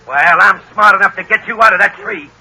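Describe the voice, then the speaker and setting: High-pitched, hot-tempered gangster boss. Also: male voice, fairly quick, sharp tone, husky, full character voice. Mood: sneering. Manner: curt, sneering, barking orders. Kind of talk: old-time radio acting. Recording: noisy, crackly vintage radio recording.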